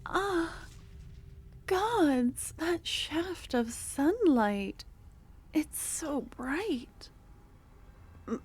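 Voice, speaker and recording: A young woman voicing an obsessive, possessive female cultist in an ASMR roleplay. She talks in a soft, close murmur that drops into whispers, and her tone is doting and unsettling. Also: sits high, exaggerated voice. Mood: urgent, hopeful.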